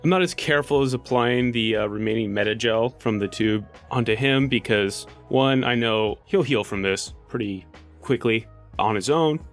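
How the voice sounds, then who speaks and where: A man's voice: medium pitch, normal speed. A man playing a tabletop game, improvising his character's actions casually and thinking aloud. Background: faint music.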